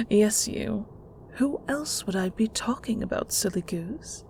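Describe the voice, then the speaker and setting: Woman acting a creaky-voiced, slow, controlling mother. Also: mid-range voice, lively intonation, darkish tone, slight background noise. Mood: coaxing, teasing. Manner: patronizing, slow, deliberate interrogation. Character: controlling, condescending, menacingly sweet middle-aged mother. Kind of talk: dramatic audio roleplay.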